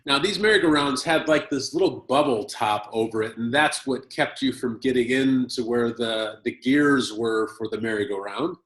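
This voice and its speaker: An American man from Chicago telling a personal story at a conference, speaking in short phrases and pausing for his interpreter. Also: medium pitch, fairly bright tone. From Chicago, United States. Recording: roomy echo.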